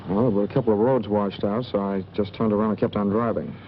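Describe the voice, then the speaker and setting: Man acting reserved drifter, low voice, 1940s noir, dull soundtrack, light hiss. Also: fairly even intonation, quick pace, close to an everyday voice. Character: terse male stranger, a stranded traveller seeking a room. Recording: muffled old film soundtrack with hiss.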